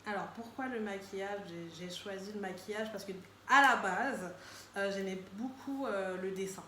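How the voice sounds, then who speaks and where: A woman's voice: mid-range voice, moderate pace, bright, forceful tone. A woman in her twenties answering interview questions casually as a guest makeup artist. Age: 20s. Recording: echoey room with faint background noise.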